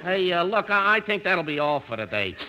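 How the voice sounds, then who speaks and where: An actor playing a slow-witted, genial punch-drunk ex-boxer working as a gym instructor in a radio comedy, halting and stammering. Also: male voice, high voice, very animated, bright, forceful tone, muffled recording, a faint crowd, heavily acted. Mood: dim, earnest. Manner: halting, stammering, uneducated speech. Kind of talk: 1950s radio comedy acting. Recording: very noisy 1950s radio recording.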